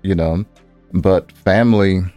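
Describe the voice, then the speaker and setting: A man co-hosting a podcast, chatting informally and often pausing to search for his words. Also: sits low, dark tone, no room sound, soft background music. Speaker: podcast co-host and audio drama producer. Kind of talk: casual podcast chat.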